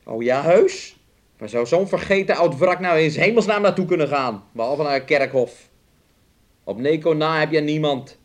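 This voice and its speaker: A man's voice: fairly high pitch, moderate pace, lightly acted. An actor playing a menacing, mocking young man in a radio play, taunting and wheedling as he speaks of himself in the third person. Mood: scornful. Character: menacing, mocking young man bullying his sick fiancée. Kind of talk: Dutch radio play acting.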